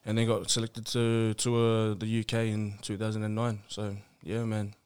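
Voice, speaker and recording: A man's voice: lowish voice, completely flat delivery, normal speed, darkish tone, clear, resonant tone. A man from Melbourne with an Australian accent, chatting casually as an interview guest in a laid-back, hesitant, colloquial way. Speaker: podcast guest, rugby player.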